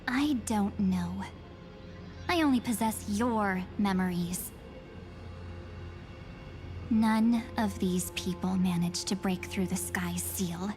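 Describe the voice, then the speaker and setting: A woman voicing an enigmatic, cold young woman in a video game, speaking in measured, breathy half-whispers. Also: medium pitch, a little mellow, lightly acted. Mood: guarded, cryptic. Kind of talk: scripted video game acting. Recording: music behind the voice.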